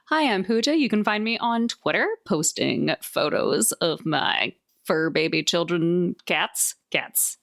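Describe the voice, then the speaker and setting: A woman's voice: lowish voice, lively intonation, a little slow, creaky, few pauses, fairly bright tone. A woman speaking casually as a player on a tabletop game podcast.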